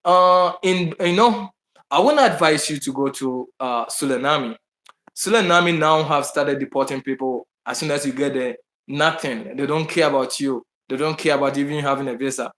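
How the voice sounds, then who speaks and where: A man's voice: fairly high pitch, moderate pace, a bit bright, roomy sound. A man with a Ghanaian accent chatting casually to his viewers, answering their questions in a rambling way with many restarts, fillers and emphatic repeats. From Bahamas, raised partly in Ghana. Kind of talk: casual live chat to viewers. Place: indoors in Brazil.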